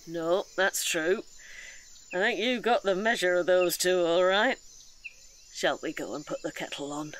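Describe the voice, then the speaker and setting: A male actor playing a calm, weary, gently chiding caretaker in an audio drama. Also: sits high, unhurried. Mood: reassuring. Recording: audible outdoor nature noise.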